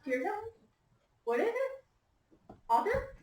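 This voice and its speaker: A woman teacher reading a picture book aloud to young children, patiently prompting them with questions and gentle instructions. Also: very high-pitched, sing-song, takes it slowly. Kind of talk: interactive picture-book read-aloud to a class. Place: echoey indoor room with young children. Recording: echoey room, distant microphone.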